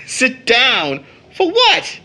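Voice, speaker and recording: A man's voice: extremely high pitch, huge pitch swings, drawn-out words, bright, forceful tone, exaggerated voice. A man acting as a proud young uncle in an audio drama, with a theatrical, formal way of talking. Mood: teasing. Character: playful, teasing young uncle, mock-pompous, proud, later embittered.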